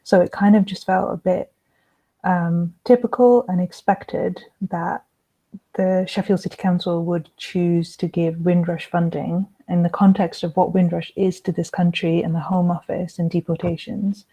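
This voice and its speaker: A woman hosting a live-streamed panel discussion, introducing guests and moderating in a relaxed way over a video call. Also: on the low side, a little slow, rough recording. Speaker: panel host.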